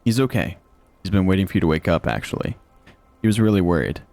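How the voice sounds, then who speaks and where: Deep, gentle, protective companion played by a man. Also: fast talker, no echo. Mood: gentle, concerned. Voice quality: soft, calming. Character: gentle, protective, worried male companion of a healer. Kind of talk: dark fantasy audiobook dialogue.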